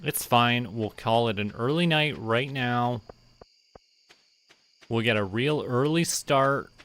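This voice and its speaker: A man doing relaxed, casual game commentary, thinking aloud and muttering to himself in an unhurried way. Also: medium pitch. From Canada. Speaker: game streamer.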